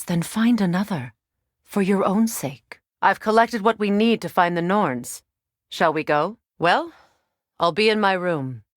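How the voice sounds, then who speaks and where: A woman voicing a resolute, battle-worn sorceress and protective mother in a video game, with a measured, earnest and commanding delivery. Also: low-pitched, dry recording, clean studio sound. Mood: solemn, earnest. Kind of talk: dramatic video game voice acting.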